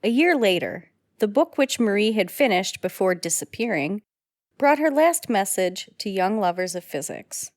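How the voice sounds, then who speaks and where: A woman acts as an earnest speaker in a period radio play. Her medium-pitched voice is carefully phrased and recorded very dry. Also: lively intonation, moderate pace, no echo, lightly acted. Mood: reverent. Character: woman storyteller and onlooker in a period drama. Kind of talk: scripted old-time radio drama.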